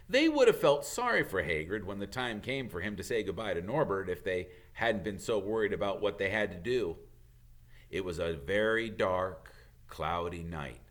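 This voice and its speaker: A man reading a children's novel aloud as a teacher would, in expressive storybook narration. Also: deep voice.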